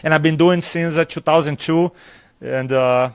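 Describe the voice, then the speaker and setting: A man's voice: on the high side, moderate pace, clear, resonant tone, dry recording, studio quality. A man from Brazil, a native Portuguese speaker with a thick Brazilian accent, chatting animatedly as a live interview guest and acting out dialogue with laughs. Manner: animated storyteller, acts out dialogue, laughs often. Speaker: public speaking trainer, guest. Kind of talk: enthusiastic live interview chat. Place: conference venue.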